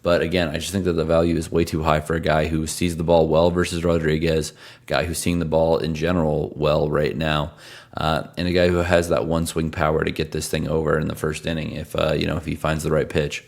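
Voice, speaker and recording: Hoarse, very deep-voiced tired man. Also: monotone, a little fast. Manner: conversational, rattles off stats. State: hoarse, losing his voice; tired from travel. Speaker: sports picks host. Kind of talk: casual sports betting analysis.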